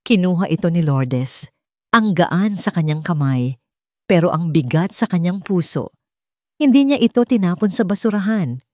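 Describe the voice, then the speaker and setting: A woman's voice: lowish voice, animated, normal speed, a little mellow, no echo, studio quality. A woman with a Filipino accent narrating a scripted dramatic story.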